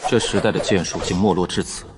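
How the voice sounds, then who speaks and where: A man's voice: sits low, steady tone, fast, noisy background, lightly acted. A man playing a cool, aloof reincarnated sword master in a comic drama, speaking in archaic, self-assured phrases. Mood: detached, amused. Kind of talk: audio comic drama dialogue.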